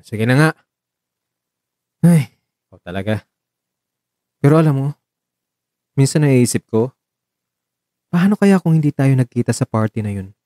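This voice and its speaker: Guy doing a sweet caring boyfriend in a radio drama, mellow and close up, tender teasing. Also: medium pitch, animated, unhurried, pauses often, no echo, lightly acted. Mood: affectionate, earnest. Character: caring, gently teasing young man in love, Marco. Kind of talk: Tagalog romantic radio drama dialogue.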